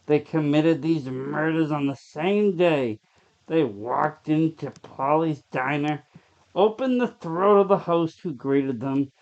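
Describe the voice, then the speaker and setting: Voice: medium pitch, takes it slowly, muffled recording. A narrator reading a scripted horror short story aloud.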